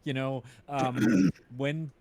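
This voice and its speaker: A middle-aged man in an informal, enthusiastic film chat on a livestream, building his points haltingly as an interviewer. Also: mid-range voice, a little mellow, slight background noise. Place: indoors at home, on a group video call.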